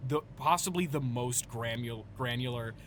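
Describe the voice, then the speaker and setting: A man playing an exasperated, reasonable superhero interrogator in an improvised comedy sketch, deadpan, halting and conversational. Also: medium pitch, a little hiss or hum, close to an everyday voice. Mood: deadpan criticism.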